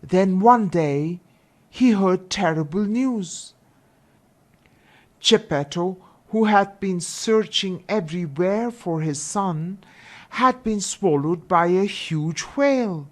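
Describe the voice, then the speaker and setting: A woman narrating a children's fairy tale with deliberate, expressive phrasing. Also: lowish voice. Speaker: storyteller.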